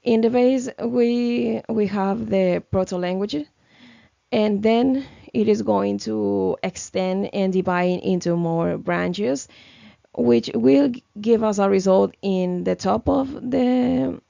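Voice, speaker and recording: Young woman presenting for class while sick, croaky voice, slow and halting, muffled dry mic. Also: medium pitch, warm tone, no room sound. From Ecuador. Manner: hesitant, many fillers and restarts. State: sick, slightly hoarse. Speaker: student presenter. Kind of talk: class presentation, read from notes.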